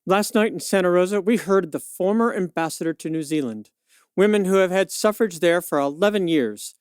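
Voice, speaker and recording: A woman playing a firm, sharp-witted suffragist attorney of 1911, arguing with pointed retorts in a radio play. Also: lowish voice, lively intonation, fairly quick, clean tone, no echo, clean studio sound, close to an everyday voice. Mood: indignant, pointed. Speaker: debate guest. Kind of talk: pseudo-radio play, argumentative debate.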